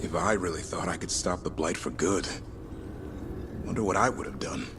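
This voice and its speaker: An actor playing a thoughtful, sober adventurer companion in naturalistic video game dialogue. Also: male voice, deep voice, normal speed, noisy background, lightly acted. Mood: pensive.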